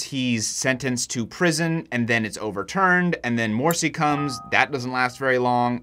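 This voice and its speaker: A young man talks through history in a casual, chatty explainer. His voice sits at a middle pitch. He keeps it loose with self-deprecating asides. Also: fairly bright tone. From Egyptian heritage. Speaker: history video creator.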